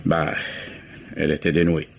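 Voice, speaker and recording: A gruff old seaside fisherman, played by a man in a vintage radio play, guarded and grumbling as he talks. His voice sits very low and deep. The sound is dull and muffled, with a faint hiss behind it. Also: level tone, normal speed. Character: gruff old oyster fisherman Pascal, reluctant witness. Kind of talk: 1950s French radio drama acting.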